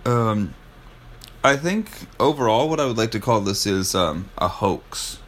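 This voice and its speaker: Male podcast co-host, slightly low voice, sardonic and profane, loose conversational delivery. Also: expressive, a little slow, a bit bright. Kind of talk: casual chat.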